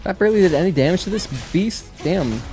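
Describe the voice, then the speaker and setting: A man's voice: sits high, lively intonation, fast, dark tone. Casual man livestreaming, music behind him. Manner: chatty, thinking aloud. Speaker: video game livestreamer. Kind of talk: casual gameplay commentary. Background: game music.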